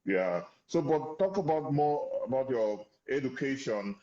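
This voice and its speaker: A man hosts an interview and asks loose, hesitant questions, stumbling over some of his words. His audio is dull and lo-fi, with a roomy echo around it. His pitch is ordinary for a man. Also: expressive, a little slow, dark tone. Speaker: interview host.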